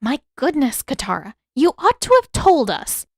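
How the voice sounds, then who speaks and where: A woman voicing a stern older mother and master healer, measured and disapproving, in an audio story. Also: high voice, sing-song, darkish tone, breathy edge, no echo, studio quality, voice pushed up, exaggerated voice. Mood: shocked.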